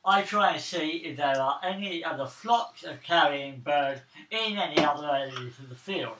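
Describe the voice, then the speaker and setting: A man's voice: fairly high pitch, expressive, normal speed. A man speaking casually as a player in a tabletop role-playing game. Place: indoors around a gaming table. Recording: roomy distant recording, faint noise.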